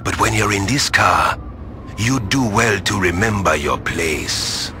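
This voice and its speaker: A stern game henchman, low and hard-edged. He speaks formally and deliberately, with quiet threat, over faint street noise. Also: lively intonation, full character voice. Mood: threatening. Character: stern, threatening gang enforcer.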